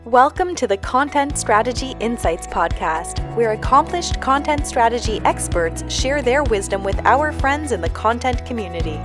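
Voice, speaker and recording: A woman's voice: on the high side, moderate pace, few pauses. A woman reading a polished, scripted podcast introduction and handing over to the host. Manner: polished, even announcing. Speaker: show announcer. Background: music.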